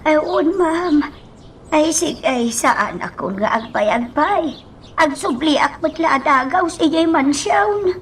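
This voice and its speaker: A woman's voice: high voice, animated, slow, far from the mic, slight background noise. A woman acting a weary, humble older mansion servant in an Ilocano radio drama, hesitant and deferential, with a Filipino accent. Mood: meek, hesitant.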